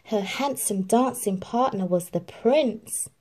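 A woman reading a children's storybook aloud in an expressive, animated narrating style. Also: mid-range voice, slow. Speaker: storybook reader.

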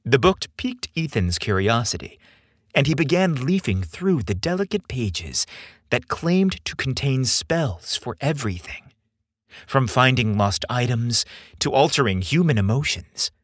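Expressive, husky male storyteller. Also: mid-range voice, a little slow, no room sound, studio quality. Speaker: podcast host and story narrator. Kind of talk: scripted story narration.

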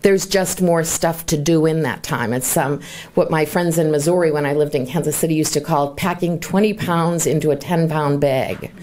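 Woman, 60s, slightly low voice, conference talk, chatty asides, podium in a large hall. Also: normal speed, a little mellow. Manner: chatty, self-deprecating asides with light laughter. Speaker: conference organizer and presenter. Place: large bright hall with glass windows. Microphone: lapel mic, then handheld mic.

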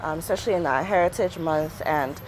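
A woman hosting a talk show, asking her guest questions in a measured way. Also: low voice, a little hiss or hum, poor audio. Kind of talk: interview questions. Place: outdoor rooftop terrace set.

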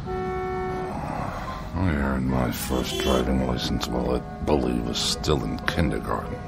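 A man's voice: rumbling low, steady tone, slow pace, creaky, heavily acted. An actor in a radio drama playing a pompous, irascible older man with haughty, florid diction. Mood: haughty, irritated. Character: pompous, irascible older man who despises machines and people. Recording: radio drama with music behind.

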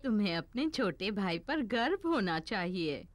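A woman voicing a gentle, encouraging female gazelle teacher in a children's cartoon dub. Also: medium pitch, sing-song, unhurried, background noise. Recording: cartoon soundtrack with music.

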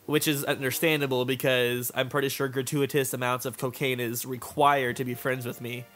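Bright-voiced young-sounding man, crude gamer banter. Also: mid-range voice, flat delivery, normal speed, soft background music. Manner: crude, joking, teasing, sweary. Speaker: gaming video co-host. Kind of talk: casual banter over gameplay.

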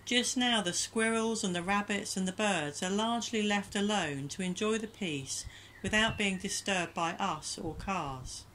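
A woman giving a calm, gentle, measured prayer reflection as a voice-over. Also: medium pitch, slight background noise, rough recording. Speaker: church minister leading prayer.

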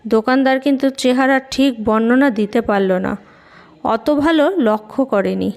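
A woman playing a tender but resolute mother in an audio horror story, telling things in an expressive, intimate way. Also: a little high, a little slow. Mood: amazed, recounting. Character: Monika, tender resolute mother sensing a child ghost.

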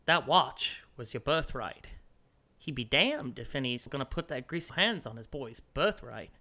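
A man voicing a solemn military veteran in a halting, earnest monologue. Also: fairly high pitch, sharp tone, heavily acted. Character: solemn military veteran telling an absurd tale.

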